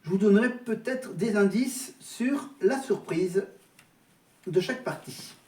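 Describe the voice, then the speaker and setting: High-pitched man, casual vlog talk, halting with many restarts, roomy echo, distant from the mic. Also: animated, darkish tone. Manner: hesitant, repeats words and restarts often. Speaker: vlogger. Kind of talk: casual chat to camera. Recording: echoey room, voice from off mic.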